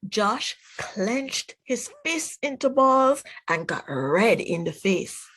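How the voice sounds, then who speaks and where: Female storybook reader, higher-pitched, unhurried and expressive, teacher addressing young children. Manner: deliberate, expressive, addresses child listeners directly. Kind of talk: children's storybook read-aloud.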